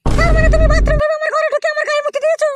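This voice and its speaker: Woman as a squeaky, bossy cartoon mother-in-law. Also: completely flat delivery, rapid-fire, very noisy. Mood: scolding. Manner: scolding, questioning, commanding. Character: middle-aged village mother-in-law, bossy, scolding yet indulgent. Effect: squeaky pitch-shifted cartoon voice. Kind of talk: comic Bengali cartoon dialogue.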